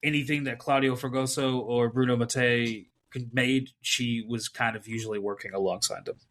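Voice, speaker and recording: A man's voice: mid-range voice, fairly even intonation, normal speed, a bit bright. Man, podcast host, casual film chat, rambling, easy laughs. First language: English. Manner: rambling, laughs often, fillers. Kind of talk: casual chat about films.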